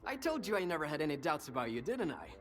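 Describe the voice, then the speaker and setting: A man's voice: on the high side, sing-song, fast talker, soft background music. An actor playing a cynical, worldly male informant in a video game, talkative and sardonic, with an insinuating conversational manner. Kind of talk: video game dialogue acting.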